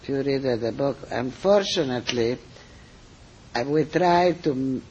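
An older man with a strong Italian accent speaks very slowly, stopping often on fillers and restarting his sentences. His pitch sits in an ordinary middle range. The recording is a little dull and muffled. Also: darkish tone, slight background noise. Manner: halting, many fillers and restarts. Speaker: cooking school teacher, guest. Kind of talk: interview answers, storytelling.

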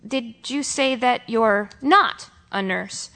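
A woman's voice: a little high, slow, bright, forceful tone, full character voice. A woman voicing a flustered, wordy male office interviewer in an audiobook, with a fussy, formal and politely hesitant manner. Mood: inquisitive, fussy. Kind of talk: audiobook character dialogue.

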